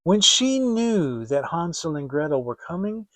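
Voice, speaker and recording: Guy reading a fairytale audiobook, dramatic and expressive, slow pace, dry close sound. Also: on the high side, no echo. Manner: expressive, theatrical. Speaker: storyteller.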